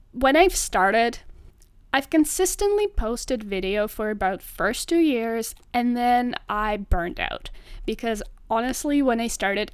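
A woman's voice: fairly high pitch, smooth, dry recording. Young woman, upbeat. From Russian-speaking country. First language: Russian. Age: 20s. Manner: chatty, earnest, upbeat. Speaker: podcast host. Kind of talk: solo podcast monologue.

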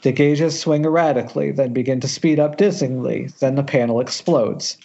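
A man's voice: mid-range voice, moderate pace, vocal fry, warm tone. Muffled man reading horror screenplay directions. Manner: stumbles over words, self-correcting. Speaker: stage-direction reader. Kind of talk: reading a horror screenplay aloud at amateur table read. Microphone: internet voice call. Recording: muffled internet call audio.

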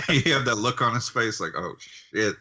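A man's voice: mid-range voice, normal speed, sharp tone. A man co-hosting a podcast in casual, conversational talk, with a wry manner. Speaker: podcast co-host. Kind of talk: casual chat reviewing wrestling.

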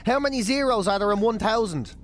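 An Irish man with a strikingly high-pitched voice presents a radio show. His talk is casual and full of joking banter. A faint bed of music sits underneath him. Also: lively intonation, fast talker. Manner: joking, chatty. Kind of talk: casual banter and quiz hosting. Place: radio studio.

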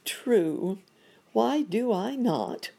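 A woman's voice: a little high, expressive, very slow, creaky, dark tone, slightly rough, pitched above the performer's own voice, heavily acted. A woman voicing a flustered, self-pitying male monkey doctor in a children's story, exclaiming and lamenting with wordy politeness. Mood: rueful.

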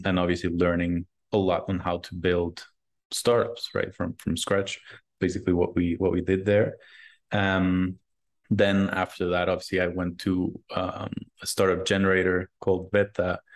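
A man, a startup founder, answering interview questions casually, often repeating words and pausing with fillers. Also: low-pitched.

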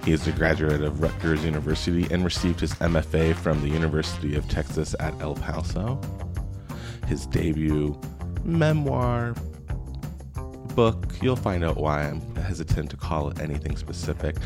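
A man hosting a podcast, moving between scripted introductions and casual, self-correcting interview chat. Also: rumbling low. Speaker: podcast host.

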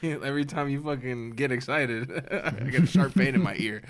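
A man's voice: mid-range voice, normal speed. A young man chatting casually, blunt and profane, often laughing. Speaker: podcast co-host. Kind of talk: casual chat.

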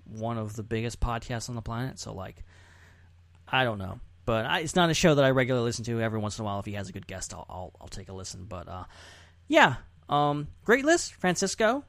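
A man's voice: mid-range voice, very animated, a little fast, creaky, fairly bright tone, no echo. A man hosting a podcast in casual chat, talking in an informal, jokey, rambling way. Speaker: podcast host.

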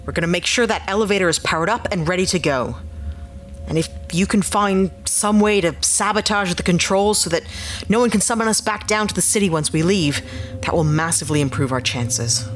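A woman's voice: on the low side, fairly quick, lightly acted. An actress playing a resolute, guarded woman who leads a sci-fi crew, terse and practical in an audio drama.

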